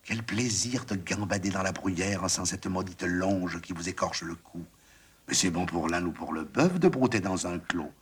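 A man voicing a wistful, stubborn young female goat in a soft, half-whispered, husky voice. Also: deep voice, moderate pace, full character voice. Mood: longing.

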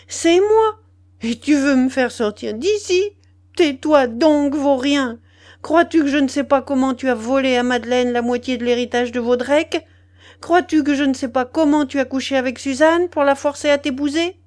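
A woman's voice: fairly high pitch, moderate pace, darkish tone, natural voice. A woman reading an audiobook plays a betrayed mistress, furious, scornful and vehemently accusing. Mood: furious outburst. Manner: vehement, indignant, exclamatory. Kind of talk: dramatised audiobook dialogue.

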